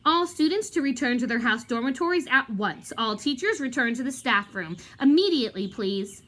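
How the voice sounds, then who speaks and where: A woman's voice: sits high, animated, moderate pace, fairly bright tone. A woman voicing a stern older female professor in a storybook, grave and authoritative. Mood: commanding.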